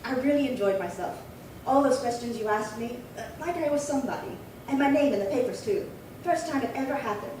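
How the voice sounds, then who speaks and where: A woman acts a resentful spinster of nearly eighty in a radio crime play. The voice is on the high side, querulous and old-fashioned in its phrasing. It sounds distant, in a very echoey space. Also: animated, brisk, a little mellow, natural voice. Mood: smugly satisfied. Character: resentful, defiant spinster sister aged seventy-nine. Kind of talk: amateur re-creation of 1950s radio crime drama. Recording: roomy echo, distant mic, faint hiss.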